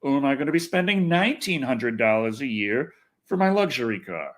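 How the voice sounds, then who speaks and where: A man's voice: mid-range voice, big pitch swings, brisk. A man chatting casually on a livestream, rambling and griping in a wry, exasperated, sweary way. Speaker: livestream host. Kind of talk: casual rambling livestream chat, reading comments.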